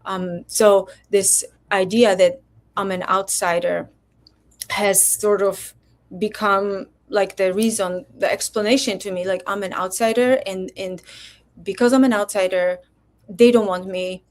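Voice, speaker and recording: A woman's voice: medium pitch, steady tone, a little slow. A middle-aged woman from Poland, a physician guest telling her story in a candid, reflective way with many hesitations. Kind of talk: candid personal interview talk.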